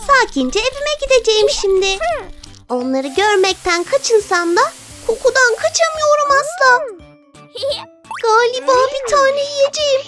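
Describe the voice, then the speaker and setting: Small fussy cartoon creature: squeaky, dramatic swoops, whiny, over loud music. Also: expressive, unhurried. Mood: whiny, dismayed. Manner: dramatic, exclamatory. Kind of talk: dubbed children's cartoon.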